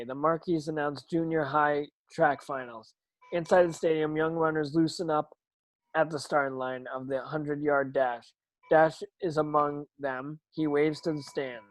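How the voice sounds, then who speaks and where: A man reading stage directions at an amateur table read, halting and stumbling over words as he narrates. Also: a little high, no room sound, low-quality recording.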